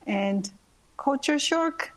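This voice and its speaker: A Japanese-born woman ballet dancer in her thirties, speaking English as a second language in an interview, soft-spoken with frequent pauses and light laughter. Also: high voice, expressive, low-quality recording. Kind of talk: interview, answering questions.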